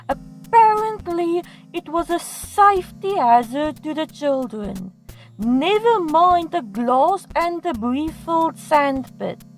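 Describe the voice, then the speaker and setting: Very high-pitched woman telling stories. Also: poor audio. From South Africa. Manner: dramatic, self-mocking. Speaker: storytime animator and narrator. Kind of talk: scripted comedic storytime narration. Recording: music playing behind the voice. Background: music.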